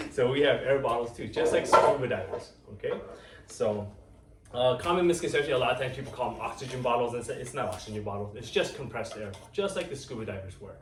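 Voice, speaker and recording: A firefighter from Los Angeles, casually explaining his job and gear to a class of children. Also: medium pitch. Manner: easygoing, explains plainly with fillers. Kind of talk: casual career-day talk to children. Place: fire station. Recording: echoey station room, far from the mic, noisy.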